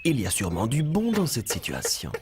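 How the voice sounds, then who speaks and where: An actor voicing a calm, wise forest leader in a French children's cartoon, reassuring and gently moralizing. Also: mid-range voice, a little fast, over music. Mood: calm, instructive. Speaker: host and storyteller.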